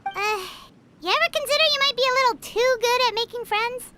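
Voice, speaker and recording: A woman voicing a small, chatty, eager girl companion in a game, with a high, squeaky voice and a teasing way of talking. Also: a little fast, very noisy. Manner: chirpy and teasing. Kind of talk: game voice acting. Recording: clean studio voice with game music.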